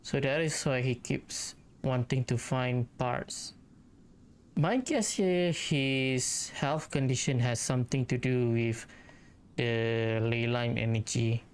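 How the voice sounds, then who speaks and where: A woman comments casually on a game as she plays it. Her voice is extremely low for a woman, and she talks slowly, in a musing and slightly hesitant way. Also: darkish tone, clean tone. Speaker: gaming streamer.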